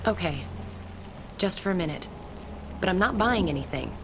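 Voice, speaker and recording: An actress playing a wary, polite widow in a radio drama, hesitant and guarded but firm. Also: female voice, lowish voice, animated, normal speed, noisy background. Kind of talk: modern radio drama acting.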